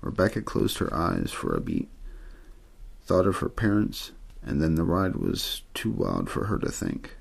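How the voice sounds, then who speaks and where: A man reads a novel aloud for an ASMR audience. His voice is low and full of heavy, crackling fry, with a husky grain. He keeps the delivery plain and untheatrical, like an amateur narrator helping someone drift off. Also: wildly swooping pitch, measured pace. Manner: untheatrical, low-key delivery with occasional stumbles. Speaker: amateur audiobook reader. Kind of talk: ASMR story reading, scripted narration.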